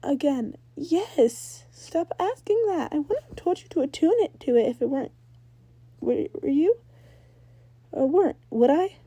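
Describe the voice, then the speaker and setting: Woman doing a cocky rocker ghost girl for a story, high pitched, blunt n teasing, soft mellow tone. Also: animated, a little slow, no room sound. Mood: exasperated. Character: brash cocky teenage rock-star ghost girl.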